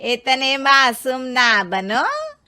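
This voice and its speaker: A woman voicing a stern, disapproving older mother-in-law, loud and commanding, in an animated story. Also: high-pitched, extremely slow, exaggerated voice.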